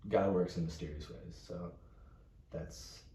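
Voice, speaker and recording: Super deep mellow 20 yr old guy, laid back, lots of "like", echoey room far off mic. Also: steady tone, unhurried. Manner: soft-spoken, fills with "like". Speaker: interviewee. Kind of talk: casual interview answers. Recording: roomy, distant mic, faint noise.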